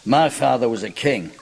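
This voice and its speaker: An actor in a radio drama playing a powerful, coolly menacing Roman kingmaker, measured and sardonic. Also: male voice, medium pitch, animated, vocal fry, darkish tone, low-quality recording. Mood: sardonic, amused. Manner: measured, sardonic, veiled threats.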